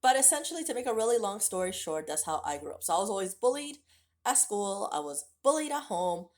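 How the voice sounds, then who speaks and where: A woman's voice: mid-range voice, sing-song, a little fast. A woman, telling her life story candidly to her viewers in a casual vlog. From United States. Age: late 30s. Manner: candid and conversational, direct address to viewers. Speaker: vlogger. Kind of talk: personal storytelling to camera.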